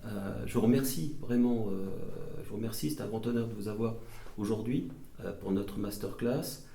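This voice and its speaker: Gravelly low-voiced male interviewer. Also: normal speed, dark tone, echoey room. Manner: effusive, complimentary, with fillers. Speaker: interviewer, masterclass host. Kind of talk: formal interview. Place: lecture room.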